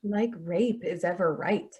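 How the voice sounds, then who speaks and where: A woman's voice: lowish voice, unhurried, warm tone, natural voice. A woman playing an exasperated, defensive adult daughter in a staged play reading, speaking tersely and bristling.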